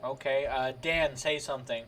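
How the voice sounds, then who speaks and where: A man's voice: medium pitch, fairly even intonation, moderate pace, fairly bright tone. A man chatting casually as a game master while running a sound check for an online stream. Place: home voice chat without headphones. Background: background music.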